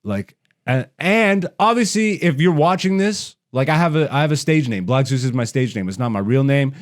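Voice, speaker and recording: A man with an average-pitched voice hosts a casual comedy podcast. He rants bluntly in loose slang and swears freely as he goes. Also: lively intonation, moderate pace. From raised in Canada. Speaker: stand-up comedian and podcast host.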